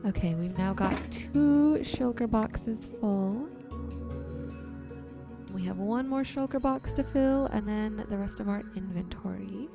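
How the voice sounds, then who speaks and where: Slow-paced woman narrating relaxing gameplay. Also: medium pitch, vocal fry, music in the background. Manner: unhurried, musing to herself. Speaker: game streamer hosting a relaxation video. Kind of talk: ASMR gameplay commentary.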